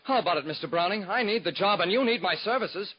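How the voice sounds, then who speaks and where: An eager young job seeker in an old radio drama, high-voiced and pleading, earnest yet nervous. The sound is dull and lo-fi, like a 1940s broadcast transcription. His talk stays polite and anxious. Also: lively intonation, fast talker, husky. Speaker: secretary.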